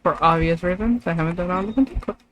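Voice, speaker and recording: A woman's voice: fairly low pitch, quick pace, a little hiss or hum. A woman livestreaming gameplay, chatting casually and thinking aloud in loose, rambling asides. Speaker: streamer.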